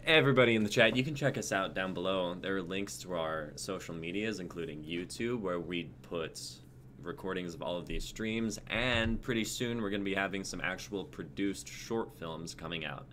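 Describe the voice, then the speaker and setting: Young guy hosting a stream, real low but bright voice, just chatting, bit of background noise. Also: moderate pace. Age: young adult. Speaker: livestream host. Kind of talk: casual sign-off chat. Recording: background noise, livestream audio.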